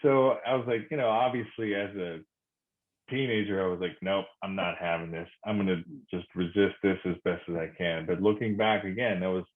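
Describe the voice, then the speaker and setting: Deep-voiced man, tinny phone-line sound. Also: steady tone. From Korea. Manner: thoughtful, halting, many fillers and restarts. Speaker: podcast guest. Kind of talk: reflective conversation.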